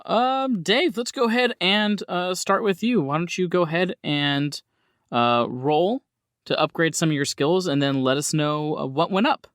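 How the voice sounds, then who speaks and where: Higher-voiced man running a tabletop game. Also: unhurried, a bit bright, no room sound, studio quality. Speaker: game master. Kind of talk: casual tabletop game hosting, explaining rules.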